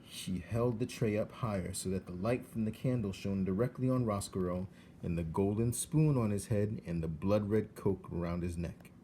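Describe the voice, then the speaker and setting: A man narrating a children's storybook in an expressive read-aloud style. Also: fairly low pitch, normal speed, slight background noise.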